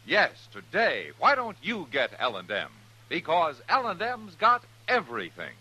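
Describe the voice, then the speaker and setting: Very animated, forceful male radio pitchman. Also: measured pace, slightly rough, low-quality recording. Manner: punchy, emphatic salesmanship. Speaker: cigarette commercial announcer. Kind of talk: 1950s radio advertising pitch.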